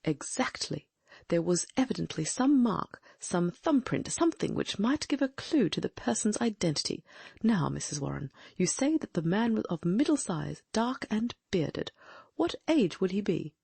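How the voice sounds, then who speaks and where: A woman's voice: mid-range voice, big pitch swings, vocal fry, darkish tone, breathy edge, no echo, heavily acted. A woman reading the part of a shrewd, inquisitive middle-aged male detective, asking probing questions as she muses aloud in an audiobook. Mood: musing. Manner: probing, musing, quick questions.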